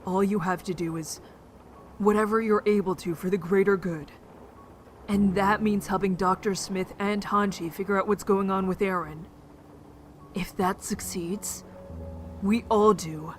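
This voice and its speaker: A woman's voice: mid-range voice, fairly even intonation, a little slow, slight background noise, natural voice. A woman playing an encouraging young survivor in an amateur audio drama, reasoning in a reassuring, patient way.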